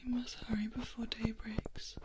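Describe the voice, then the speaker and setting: A woman playing a protective, controlling, world-weary female vampire in a soft-spoken ASMR roleplay, whispering breathily with formal, old-fashioned phrasing. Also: a little high, flat delivery, moderate pace, very noisy, natural voice. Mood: gently urgent.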